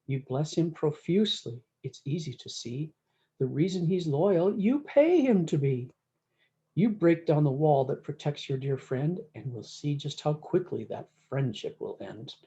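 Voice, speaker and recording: A woman's voice: low voice, lively intonation, unhurried, dark tone, lo-fi sound. A woman voicing a sly, scornful cowboy devil from a storybook, needling in folksy Western phrases. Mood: scornful, challenging.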